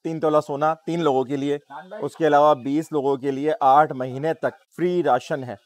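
A man's voice: fairly high pitch, fairly even intonation, normal speed. A man in his thirties with a Pakistani accent, chatting casually as he hosts a shopping vlog, often repeating his phrases. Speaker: vlog host. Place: busy supermarket and its car park.

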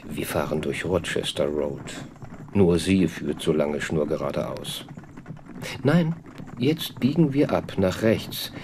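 An actor playing a cool, analytical gentleman detective in a radio drama, dry, self-assured and clipped. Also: a bass voice, animated, takes it slowly, noisy background, heavily acted. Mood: pondering, assured.

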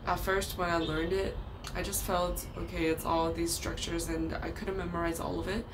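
A woman's voice: on the low side, level tone, normal speed, noisy background. A young woman in her twenties with a Chinese accent, whose first language is Chinese, earnestly explaining language-learning tips to camera in a casual vlog, with occasional ums and restarts. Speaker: language vlogger. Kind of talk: casual talking-head vlog. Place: outdoors by a brick wall.

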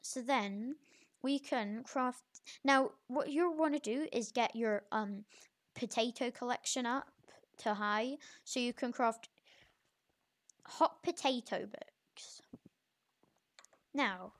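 A young video creator with an informal, halting, self-correcting way of talking, giving a casual game tutorial. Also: mid-range voice, a bit bright. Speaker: gaming video creator, host.

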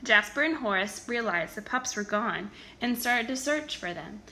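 A woman reading a children's story aloud in an expressive, animated storytelling manner with emphatic stresses. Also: a little high, moderate pace. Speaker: storybook reader.

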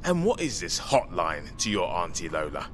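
An actor playing a wisecracking, streetwise British survivor in a zombie video game, flippant and slangy in manner. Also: male voice, sits low, big pitch swings, normal speed. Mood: wry, insistent. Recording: faint background ambient noise.

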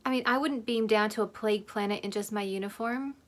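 A woman's voice: mid-range voice, fast. A woman in her thirties reacting casually to a show, commenting as she watches. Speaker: host reacting to a TV episode. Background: soundtrack of the episode.

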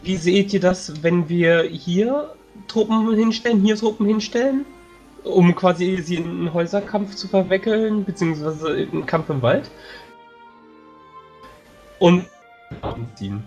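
Guy in a tabletop game planning a battle, kinda high voice, lots of ums, music under it. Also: unhurried, long pauses, darkish tone. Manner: hesitant, thinking aloud with many fillers. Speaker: tabletop player.

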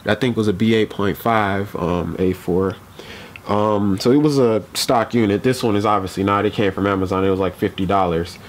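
A man's voice: on the low side, steady tone, normal speed, slight background noise. A young man in a casual how-to vlog, talking through a car repair with lots of ums and restarts while he works outdoors at night. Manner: rambling, many ums and restarts. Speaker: DIY car repair vlogger. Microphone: camera mic, moves around while working.

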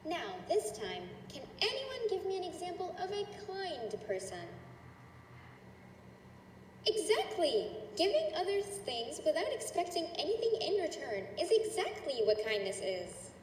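A woman's voice: very high-pitched, wildly swooping pitch, unhurried, full character voice. A woman voicing a cheerful but menacing cartoon schoolteacher, sugary and condescending as she addresses a class. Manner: sugary, gushing, condescending with veiled threats. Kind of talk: character voice acting, addressing a class. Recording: roomy, distant, echoing with noise.

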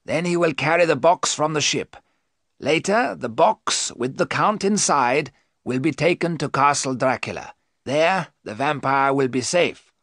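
A man voicing a grave, learned older professor and vampire hunter, speaking emphatically and deliberately in an audiobook story. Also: fairly high pitch, animated, fairly bright tone, no echo, clean studio sound, voice pushed up, exaggerated voice. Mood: explaining.

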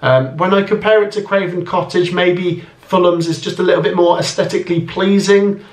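Young man, slightly high voice, casual chatty commentary, thinking aloud, echoey home room. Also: moderate pace. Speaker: football YouTuber.

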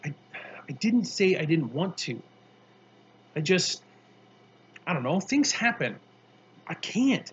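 A narrator voicing a weary, evasive boy in a storybook, speaking in a halting, apologetic way. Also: mid-range voice, sing-song, slightly rough, dull audio, full character voice. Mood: hesitant, evasive. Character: hesitant runaway boy, sheepish, weary, evasive.

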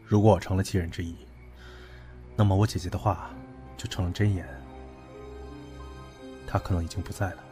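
An actor playing a grave, commanding young man, an elder friend, solemn and measured, in an audio drama. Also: low voice, fairly even intonation, music in the background, natural voice. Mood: somber. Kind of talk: Chinese audio drama acting.

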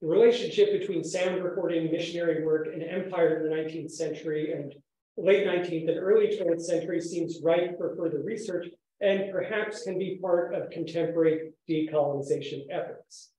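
A man lectures in a very reverberant room. His voice sits fairly high for a male speaker and has a soft, mellow tone. He speaks formally and with measured articulation, as at an academic conference. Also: steady tone. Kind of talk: academic conference talk with read-out quotations. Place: echoey indoor room, speaker standing while presenting. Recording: very echoey room sound.

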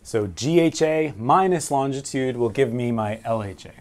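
Man in his forties, mid-pitched, a navigation instructor working through problems step by step at a whiteboard. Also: moderate pace, darkish tone. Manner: explains step by step, asks and answers his own questions. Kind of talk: whiteboard lesson. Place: small room with framed pictures and signal flags.

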